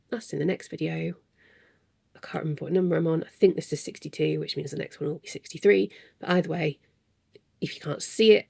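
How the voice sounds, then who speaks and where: A woman with a gravelly, husky voice, sounding stuffy as if full of cold. She chats at her home desk into a close desk microphone, rambling and self-deprecating, with soft chuckles between thoughts. Her tone sits low and mellow. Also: no room sound. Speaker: crafting podcast host. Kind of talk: casual chatty monologue.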